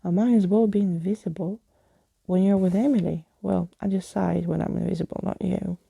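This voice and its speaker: Female reader as troublesome imaginary girl for a storybook: sulky, blunt, defiant, dark husky tone. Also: lowish voice, fairly even intonation, fairly quick, no echo, heavily acted. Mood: bitter.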